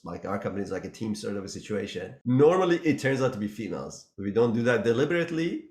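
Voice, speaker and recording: A man's voice: on the low side, darkish tone, reverberant. A man hosting a casual business podcast, rambling a little and laughing as he talks. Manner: rambling, laughs while talking. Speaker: podcast host, business owner.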